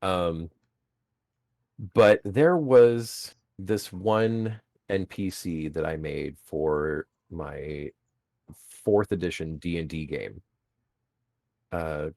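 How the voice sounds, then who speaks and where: A man game master chatting casually, animated and laughing often, swearing freely, with a booming voice. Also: takes it slowly, pauses often, dry recording. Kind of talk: casual podcast chat.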